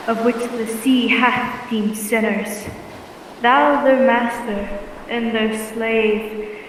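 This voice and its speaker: Young woman as a slow, echoing heavenly messenger. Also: on the high side. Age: young adult. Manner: solemn, commanding, archaic. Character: stern heavenly messenger pronouncing a curse. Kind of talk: old-time radio drama acting. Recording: noisy, cavernous echo, distant.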